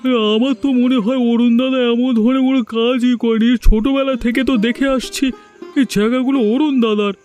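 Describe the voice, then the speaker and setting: A man's voice: extremely high pitch, level tone, fairly quick, a little mellow, soft background music. A man voicing a loyal, indignant young villager in a cartoon, gossiping emphatically. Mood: doubtful. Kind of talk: cartoon voice acting.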